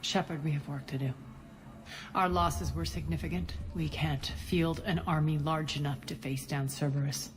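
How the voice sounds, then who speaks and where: A woman's voice: sits low, fairly even intonation, moderate pace, natural voice. An actress playing a ruthless female crime boss in a video game, commanding and clipped with a cool sardonic edge. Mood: brisk authority. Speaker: gang leader and commander. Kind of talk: video game cutscene acting.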